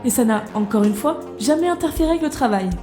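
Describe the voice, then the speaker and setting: A woman's voice: sits high, expressive, fast, warm tone, faint music behind. An actress playing a brash, irreverent young woman engineer in an audio drama, blunt, slangy and sardonic. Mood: defiant.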